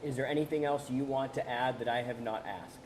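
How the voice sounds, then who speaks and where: A man with a mid-range voice asks a guest questions as a reporter. He is polite and a little hesitant. Steady noise runs under the recording. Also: medium pitch, fairly quick. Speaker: news reporter. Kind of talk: interview questions. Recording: noisy field recording.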